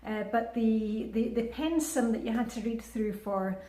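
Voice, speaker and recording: Woman in her fifties, chatty vlogger, slightly high voice, echoey room, lots of ums and restarts. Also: unhurried, mellow. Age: 50s. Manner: chatty, upbeat, with many fillers and restarts. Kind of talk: casual vlog chat. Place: indoors at home, with a short outdoor clip in the rain.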